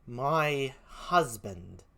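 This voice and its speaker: Defiant British gentlewoman in classical tragedy acted by a solo performer, grieving and loyal, impassioned, drawn-out delivery with a forceful edge. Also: medium pitch, lively intonation, voice pushed up. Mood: stunned. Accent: British, put on. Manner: impassioned, slow, declamatory.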